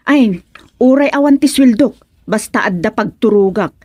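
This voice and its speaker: Woman acting a high-voiced, pleading village housemaid hopeful. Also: lively intonation, moderate pace, a little mellow, husky, dry recording. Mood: coaxing. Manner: chatty, wheedling, insistent. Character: pleading village woman named Osang seeking housemaid work. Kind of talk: Ilocano radio comedy-drama acting.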